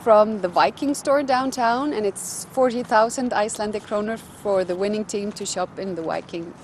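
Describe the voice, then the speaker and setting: A woman explains a contest to the teams in a relaxed way, upbeat and quick to laugh. She is recorded outdoors, with clear background noise around her. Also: medium pitch. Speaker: game organizer.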